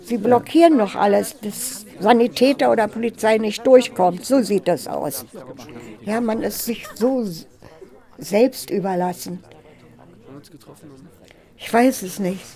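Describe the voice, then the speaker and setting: An actress playing a chatty, mildly fretful older housewife in naturalistic radio drama, speaking haltingly and seeking agreement with short tag questions. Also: female voice, medium pitch, warm tone, lightly acted. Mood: resigned. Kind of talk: naturalistic, improvised-sounding radio drama dialogue.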